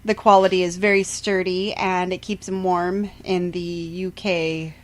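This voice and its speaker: A woman's voice: on the low side, level tone, measured pace. A woman co-hosting a podcast in casual banter, with wry, joking asides. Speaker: podcast co-host.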